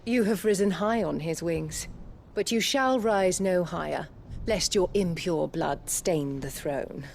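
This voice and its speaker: An actress playing a cold, scheming imperial noblewoman in a video game drama, condescending and measured. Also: lowish voice, vocal fry, darkish tone, a little hiss or hum. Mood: cold scorn.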